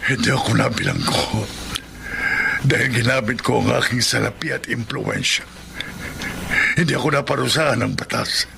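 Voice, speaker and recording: An actor playing a guilt-ridden, haunted rich man in his sixties in a Filipino radio horror drama, halting and anguished. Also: medium pitch, creaky, noisy background. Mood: anguished, confessing. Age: 60s.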